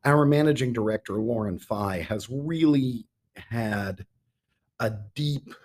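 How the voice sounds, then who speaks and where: A middle-aged man answering interview questions as a guest, measured and given to emphatic repetition. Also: medium pitch, darkish tone, a little hiss or hum. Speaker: interview guest, theater artistic director.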